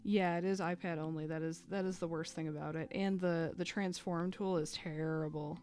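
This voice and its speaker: A woman chatting casually while she draws on a live stream, thinking aloud with fillers and little laughs, a bit hoarse as she loses her voice. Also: on the low side, steady tone, moderate pace, darkish tone, soft background music. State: losing her voice.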